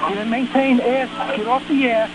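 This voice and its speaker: A man's voice: very high voice, steady tone, normal speed. A man working as a fire dispatcher, giving firm, commanding orders over emergency radio and repeating them. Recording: noisy emergency radio traffic. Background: loud radio noise.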